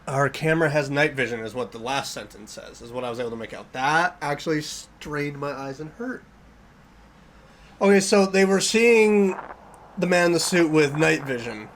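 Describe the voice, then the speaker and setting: A man's voice: fairly high pitch, animated, normal speed, slight background noise. A man giving casual reaction commentary as a video host, jittery and often repeating himself.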